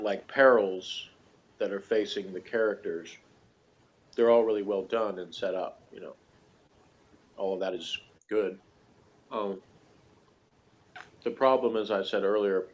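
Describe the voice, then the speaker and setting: A man chatting casually as a guest reviewer, rambling conversationally with plenty of "you know" and "like". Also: fairly low pitch. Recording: dull lo-fi recording.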